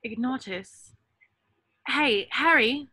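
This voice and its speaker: A young woman plays a wary, anxious teenage witch in an amateur online table read. Her voice is fairly high and very bright, with a concerned edge. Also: slow, husky, exaggerated voice. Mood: curious. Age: young adult. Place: indoors on a group video call. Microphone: video call.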